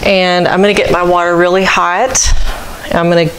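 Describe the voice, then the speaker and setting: Female craft vlogger, slightly low register, casual and unhurried, thinking aloud, noticeable household noise behind. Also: flat delivery, a bit bright, poor audio. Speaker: craft vlogger demonstrating fleece washing. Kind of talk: casual hands-on tutorial chat. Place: home kitchen. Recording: clear background noise, household ambience.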